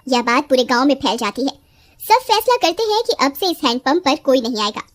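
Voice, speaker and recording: A woman's voice: high voice, sing-song, brisk, slight background noise. A woman narrating a scripted story as storyteller. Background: music.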